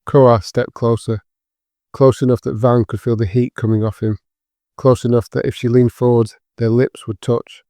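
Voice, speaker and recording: Very even, dark-voiced male narrator. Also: medium pitch, moderate pace, no room sound, studio quality. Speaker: audiobook narrator. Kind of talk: scripted dramatic fiction narration.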